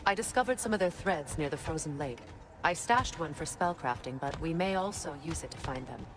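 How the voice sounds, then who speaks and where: An actress playing a proud, resolute warrior goddess in a video game, earnest and deliberate. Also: female voice, deep voice, lively intonation, background noise. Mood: businesslike. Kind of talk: dramatic video game acting.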